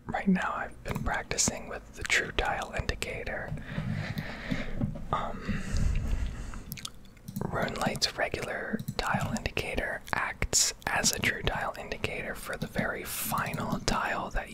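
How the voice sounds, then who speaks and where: A woman doing ASMR commentary over gameplay, rambling and explaining in a whisper at a close microphone. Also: unhurried. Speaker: gaming video creator.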